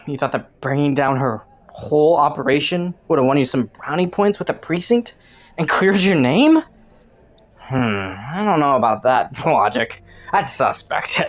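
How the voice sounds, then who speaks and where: A woman voicing a clever, flirty underworld rogue in an audio roleplay, teasing and taunting a detective with sardonic, sultry playfulness. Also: lowish voice. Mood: taunting, amused. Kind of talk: roleplay audio drama, intimate monologue to the listener.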